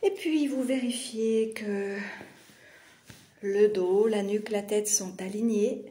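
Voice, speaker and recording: A woman leads a guided meditation as a yoga nidra teacher. Her voice is mellow and relaxed, at a middling pitch. She speaks very slowly, in short soothing phrases separated by long pauses.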